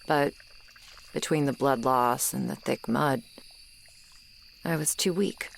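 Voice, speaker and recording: A woman playing a gruff, lonely swamp monster girl who was once human, in a grudging, guarded and intimate ASMR roleplay delivery. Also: rumbling low, slow, a little hiss or hum, heavily acted. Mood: wistful, sad. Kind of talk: ASMR roleplay, acted monologue to the listener. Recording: swamp ambience of crickets and frogs. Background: insects and frogs, swamp night ambience.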